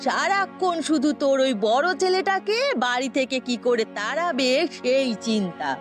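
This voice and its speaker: Sly older village aunt for audio melodrama played by a woman: fairly high voice, gossipy, meddling, insinuating, soft music behind. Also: expressive, normal speed. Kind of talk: melodramatic Bengali audio story acting. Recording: music under the voice.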